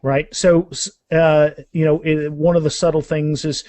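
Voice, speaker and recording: A man's voice: on the high side, level tone, normal speed, darkish tone, no echo. A man chatting casually as a guest author, emphatic and often repeating words as he explains. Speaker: guest author discussing his books. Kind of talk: casual animated interview chat.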